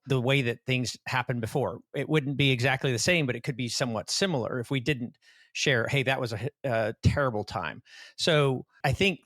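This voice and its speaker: A man co-hosting a podcast, reflecting earnestly and deliberately in conversation. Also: mid-range voice, fairly bright tone, no echo. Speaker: podcast co-host.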